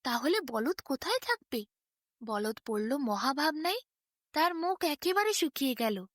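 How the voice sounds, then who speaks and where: A woman's voice: high voice, dry recording, clean studio sound. A woman storyteller narrating a bedtime tale expressively, in dramatic short phrases.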